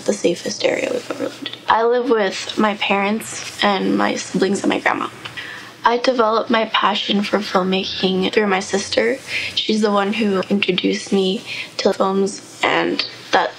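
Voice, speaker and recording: Calm teenage girl from El Salvador. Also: medium pitch, slight background noise, low-quality recording. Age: teens. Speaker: student. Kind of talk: documentary interview.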